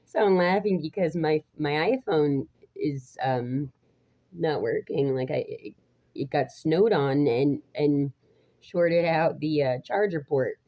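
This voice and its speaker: A woman in her thirties with a raspy voice, talking to viewers in a halting, rambling casual vlog, often restarting and laughing between phrases. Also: deep voice, muffled recording. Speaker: vlogger. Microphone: phone.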